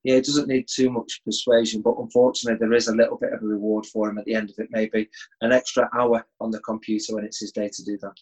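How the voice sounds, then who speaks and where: A middle-aged man, chatting in a relaxed way as an interview guest from home over a video call. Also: lowish voice, fast, breathy edge, low-quality recording. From England. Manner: relaxed, easygoing with chuckles and restarts. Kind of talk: casual interview chat.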